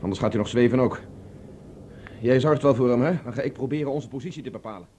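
A steady, mid-pitched man in a science-fiction radio play, as an actor performs him, giving brisk, decisive orders. He stays calm and practical, and there is faint noise under the recording. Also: close to an everyday voice. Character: Tony, resourceful male space fugitive. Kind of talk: Dutch radio play acting.

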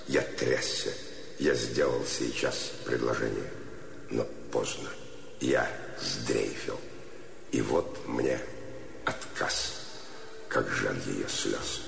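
An actor playing a feverish, lovesick and regretful male poet in a radio play, declaiming in halting short phrases. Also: very deep voice, creaky, fairly bright tone, exaggerated voice. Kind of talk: theatrical poetic radio play acting.

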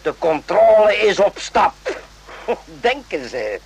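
An actor playing a stern, sardonic superior officer in a radio play, curt and commanding, with sarcastic repetitions. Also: male voice, high-pitched, very animated, slow pace, gravelly, full character voice, low-quality recording. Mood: weary, scornful.

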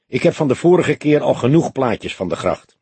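Veteran male detective for crime audiobook, played by a male reader: husky, dry-witted, unhurried grumbler. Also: mid-range voice, big pitch swings, warm tone, no room sound, pitched above the performer's own voice, full character voice. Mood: businesslike. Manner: grumbling, laconic. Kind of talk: acted dialogue in an audiobook.

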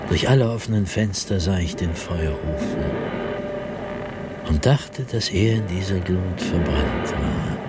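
A man's voice: deep voice, lively intonation, over loud music. An actor playing a terrified man descending into madness, delivering a theatrical, fervent diary monologue in a radio play. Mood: grim awe. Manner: haunted, theatrical, fervent, with dramatic pauses and whispers.

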